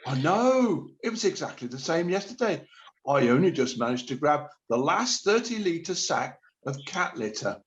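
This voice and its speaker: A man's voice: on the high side, very animated, moderate pace. A man playing an awkward, sheepish shopper in a radio play, hesitant, stammering and evasive. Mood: exasperated. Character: awkward, sheepish panic-buying supermarket shopper. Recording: slightly dull lo-fi sound.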